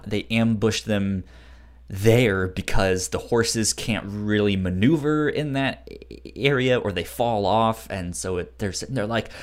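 A man co-hosting a podcast in casual chat, halting and repeating words while searching for phrasing. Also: fairly low pitch. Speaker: podcast co-host. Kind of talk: casual chat reviewing manga.

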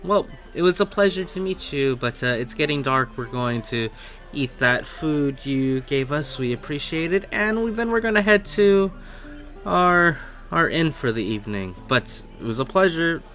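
A little halfling man acted out by a male game master talks in a lifted, bright voice. He is courteous and timid, feeling his way through each sentence like a shy newcomer in a fantasy game. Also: fairly high pitch, animated, moderate pace, soft background music, voice pushed up. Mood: polite, tentative. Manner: hesitant, polite. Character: small adult halfling man, polite, timid, job-seeking.

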